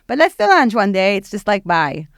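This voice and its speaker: Woman, nonprofit head, candid and chatty, smooth clear tone, dry close mic. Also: mid-range voice, normal speed, no echo. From Israel. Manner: candid, frank and animated, with many fillers. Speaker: podcast guest, nonprofit executive director. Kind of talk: casual interview talk.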